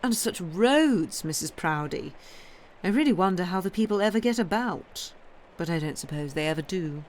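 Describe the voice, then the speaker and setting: A woman's voice: fairly low pitch, lively intonation, moderate pace, a little mellow, a little hiss or hum, lightly acted, low-quality recording. A woman voicing a haughty, gossipy Victorian countess in an audiobook, with drawling, gushing society chatter. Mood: gushing, snobbish. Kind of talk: audiobook character voice.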